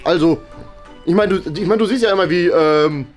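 A man's voice: high-pitched, moderate pace, faint music behind. A young man hosting a casual vlog with playful banter, recorded indoors on a handheld camera. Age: young adult. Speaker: YouTube host. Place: indoor office rooms.

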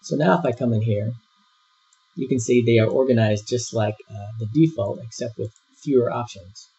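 Husky, gravelly man walking through software. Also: medium pitch, normal speed. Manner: relaxed step-by-step walkthrough with frequent fillers. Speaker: software instructor. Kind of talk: screen-recorded software tutorial. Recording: dull lo-fi voice-over.

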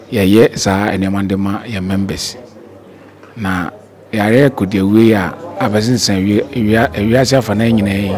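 A middle-aged man with a Ghanaian accent, a pastor explaining the church's efforts indoors into a handheld microphone. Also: on the low side. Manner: measured, explanatory, with English terms.

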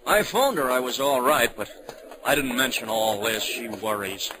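An actor playing a stubborn young husband in an old-time radio drama, plain-spoken and insistent, American accent. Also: male voice, medium pitch, moderate pace, noisy background, close to an everyday voice. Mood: aggrieved. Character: stubborn young married robbery victim.

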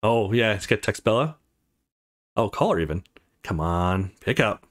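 A man's voice: on the low side, expressive, moderate pace. A man hosting a let's play, reading a visual novel aloud and commenting casually.

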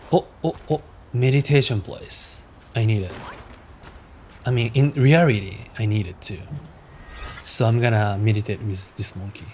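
A man's voice: medium pitch, darkish tone, slight background noise. A Japanese man with a Japanese accent giving casual, playful gameplay commentary, reacting aloud and laughing often. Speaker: gaming streamer.

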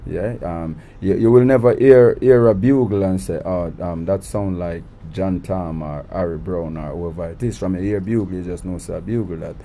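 Jamaican man, thirties, deep warm voice, restarts his sentences, casual interview talk. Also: moderate pace, hardly any pauses, a little hiss or hum. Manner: relaxed, repeats words when starting sentences. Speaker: guest artist. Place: recording studio.

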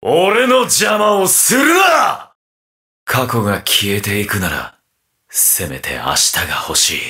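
An actor playing a ruthless, mocking undead armored mercenary villain in a video game, with a taunting, theatrical delivery. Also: male voice, mid-range voice, wildly swooping pitch, takes it slowly, pushed and bright, heavily acted. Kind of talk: video game battle voice acting.

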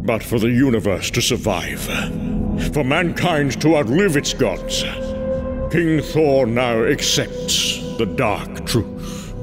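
A man's voice: medium pitch, lively intonation, takes it slowly. A man narrating a motion comic in a grave, dramatic scripted delivery. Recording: loud music underneath.

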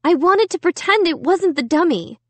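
A woman's voice: extremely high pitch, lively intonation, normal speed, dry recording, pitched above the performer's own voice. A woman doing a hesitant guilty girl. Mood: remorseful. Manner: soft, hesitant confessing. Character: guilty, frightened young girl confessing.

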